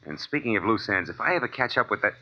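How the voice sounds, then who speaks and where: Deep-voiced hard-boiled American private eye. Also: male voice, animated, fast talker. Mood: wry. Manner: clipped, wry, tough-guy delivery. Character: hard-boiled male private detective, tough and wry. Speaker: lead actor and first-person narrator. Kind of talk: 1940s American radio crime drama acting. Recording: muffled, hissy old radio recording.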